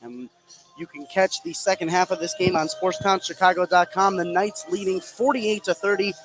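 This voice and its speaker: A man doing live play-by-play radio commentary of a high school basketball game, with excitable delivery. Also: moderate pace, over music. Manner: excitable, voice swinging up on big plays. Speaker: play-by-play sports announcer. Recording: clearly noisy live gym broadcast. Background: gymnasium game noise.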